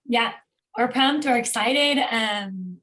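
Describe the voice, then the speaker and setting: A woman's voice: on the high side, takes it slowly, pushed and bright, slight background noise. A woman hosting a team video call, introducing the guest in an upbeat, gushing way. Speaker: host.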